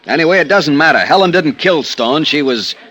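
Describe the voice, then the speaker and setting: An American actor playing a stubborn, evasive supper club manager in a 1950s radio detective drama. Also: male voice, fairly high pitch, expressive, brisk, bright, forceful tone. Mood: defensive, stubborn. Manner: curt, evasive, defensive. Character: guarded, stubborn male supper club manager hiding something.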